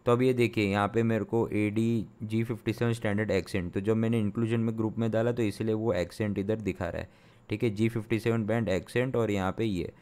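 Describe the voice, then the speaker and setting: Young guy from india doing a screen tutorial, lots of uh and restarts, clear voice. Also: on the low side, fairly even intonation, brisk, a little mellow. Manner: thinking aloud with many fillers and restarts; explanatory, step-by-step. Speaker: sound engineer teaching software. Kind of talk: screen-recorded software tutorial.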